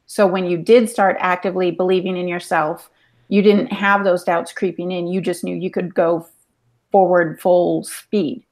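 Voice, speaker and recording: A woman's voice: fairly low pitch, steady tone, moderate pace, darkish tone. A woman on a podcast panel, putting questions in a conversational style.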